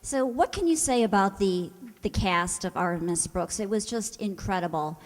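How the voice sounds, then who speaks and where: A woman's voice: mid-range voice, lively intonation, moderate pace. Woman host introducing a show to an audience, conversational, mid-range voice, long room reverb. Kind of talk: informal spoken introduction to an audience. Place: hall, before an audience. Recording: echoey hall.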